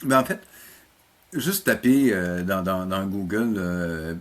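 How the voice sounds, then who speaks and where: A mature man with a Québécois French accent, speaking informally and haltingly as a guest in a casual interview. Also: low-pitched, expressive. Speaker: interview guest, animation teacher and program coordinator.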